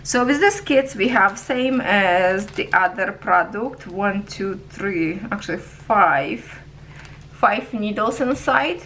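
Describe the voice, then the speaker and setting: Woman with a mid-range voice, unhurried, chatty craft reviewer in non-native English who keeps asking viewers "okay?". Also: mid-range voice, animated, fairly bright tone, a little hiss or hum. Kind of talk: casual product review.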